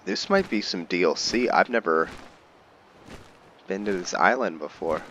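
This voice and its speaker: Low-voiced, unhurried man doing game commentary. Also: animated, a bit bright. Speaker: gamer narrating own video game playthrough. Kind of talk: casual gameplay commentary, thinking aloud. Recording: faint background noise.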